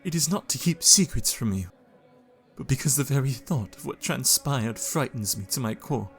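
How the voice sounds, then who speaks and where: A man's voice: mid-range voice, lively intonation, normal speed, natural voice. A man voices a frail young Victorian man, shaken to the core, whose speech halts and stumbles. He sounds weary and fearful, and faint music plays beneath him in this audio drama.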